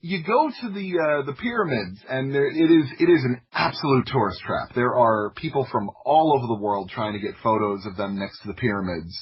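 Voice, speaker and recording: A man's voice: medium pitch, fairly quick, hardly any pauses, husky, highs cut off. An American man telling stories casually as a podcast guest, in an anecdotal, self-interrupting way peppered with "like". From Florida, United States. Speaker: podcast guest, audio drama creator. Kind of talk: casual conversational storytelling.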